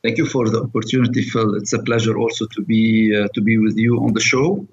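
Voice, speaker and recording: A middle-aged man with a Lebanese accent giving halting, earnest answers as an interview guest. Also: fairly low pitch, fairly even intonation, slightly rough, muffled recording. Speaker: interview guest, aid director.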